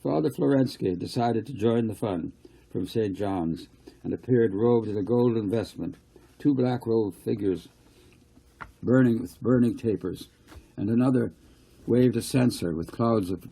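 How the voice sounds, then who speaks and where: Gravelly, mellow male storyteller. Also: fairly low pitch, a little slow, low-quality recording. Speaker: author reading his own novel. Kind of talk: storybook narration.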